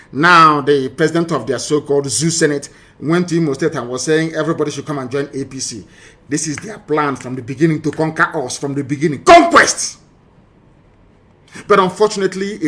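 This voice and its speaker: A man's voice: a little high, bright, forceful tone. A man with a Nigerian accent delivering an impassioned political monologue, emphatic and scornful, piling up rhetorical questions and repeating phrases. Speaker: political broadcaster. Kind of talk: impassioned political monologue commenting on archive audio.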